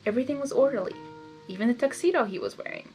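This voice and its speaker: Woman, high voice, expressive story narration, informal read-aloud with asides, music behind. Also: racing. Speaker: fan fiction reader.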